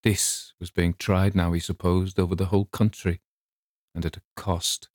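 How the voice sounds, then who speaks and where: A man's voice: deep voice, level tone, moderate pace, darkish tone, no echo. A man reading scripted story narration as an audiobook narrator, recorded close.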